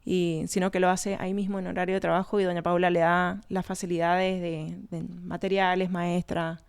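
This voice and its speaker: Interview guest: Argentine woman, smooth clear voice, conversational delivery. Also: on the low side, level tone, normal speed. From Argentina, born in Colombia. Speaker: winery research and communication manager, interview guest.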